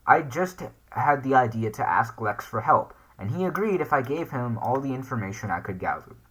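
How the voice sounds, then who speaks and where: A man's voice: mid-range voice, expressive, moderate pace, fairly bright tone, husky, rough recording. A man hosting a casual reaction video, hesitantly thinking aloud as he comments and reads text off the screen. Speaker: YouTube reaction host.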